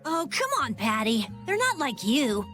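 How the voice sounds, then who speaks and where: A woman playing a brisk, plucky young female adventurer in a video game, blunt and quick to scold. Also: a little high, sing-song, a bit bright, soft background music. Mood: exasperated. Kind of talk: video game cutscene voice acting.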